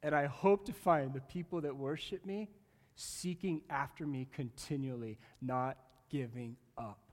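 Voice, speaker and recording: A man preaching a sermon to his congregation, animated and jokey, swinging from loud bursts to quiet asides and talking straight to the room. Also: medium pitch, measured pace. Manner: jokey, talks directly to the congregation. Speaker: pastor. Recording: long reverb of a large hall.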